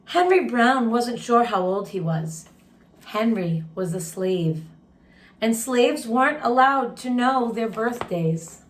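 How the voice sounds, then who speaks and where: A woman reading a children's picture book aloud expressively, pausing to ask listeners questions and share reactions. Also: a little high, animated. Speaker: story time host, read-aloud teacher. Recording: roomy, echoing room sound.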